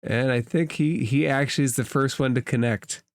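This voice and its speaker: A game master narrating a tabletop game in a measured, matter-of-fact way. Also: mid-range voice, no echo, studio quality.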